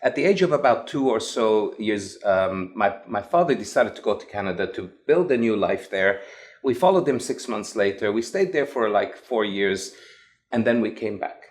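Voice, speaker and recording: A man's voice: on the low side, moderate pace. Emphatic Lebanese-born man, English accent. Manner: animated, emphatic storytelling. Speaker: guest, evangelist. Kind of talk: interview storytelling.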